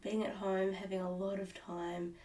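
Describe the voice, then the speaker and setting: A stuffed-up, nasal Australian woman chatting to camera at home about her hobby. She rambles and restarts her sentences often, and her voice sits at an ordinary pitch. She sounds a little distant from the microphone. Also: little pitch movement, normal speed, warm tone. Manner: rambling, with many restarts and fillers. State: nasal and stuffed up from a cold-like illness. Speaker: cross-stitch vlogger. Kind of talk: casual chat to camera. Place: at home, indoors.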